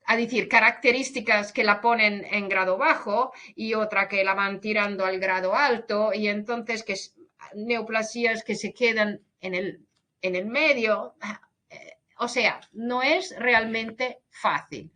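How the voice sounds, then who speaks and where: A woman's voice: fairly high pitch, takes it slowly, pushed and bright. A woman giving a veterinary webinar lecture over a video call, speaking hesitantly and restarting words. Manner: hesitant, restarts words, mixes in English and Portuguese.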